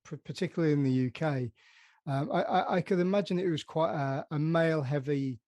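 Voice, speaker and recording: Man, podcast host, higher pitch, warm dark tone, rambling questions. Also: a little fast, dry recording, clean studio sound. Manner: rambling questions with many restarts. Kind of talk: podcast interview.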